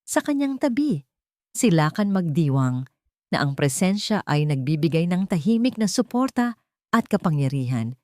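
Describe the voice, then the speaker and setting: Low-voiced Filipino woman narrating dramatically. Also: very animated, moderate pace, warm tone, no echo, studio quality. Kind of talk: dramatic scripted story narration.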